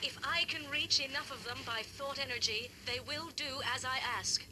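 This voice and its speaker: A man's voice: very high-pitched, normal speed, voice pushed up. A man voicing a young woman warrior goddess in a cartoon storybook reading, speaking in an earnest raised voice. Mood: determined. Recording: loud hiss and background noise.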